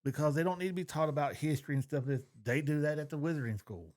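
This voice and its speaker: A man's voice: fairly high pitch, fast talker, a little mellow. Casual, opinionated man co-hosting a podcast. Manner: opinionated, informal, swearing. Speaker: podcast co-host. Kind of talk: casual fan discussion.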